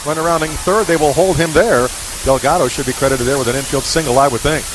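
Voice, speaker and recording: A man's voice: on the high side, quick pace. A man calling live baseball play-by-play on the radio in clipped phrases that follow the action. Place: live baseball game broadcast. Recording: hissy, static-laden radio broadcast recording.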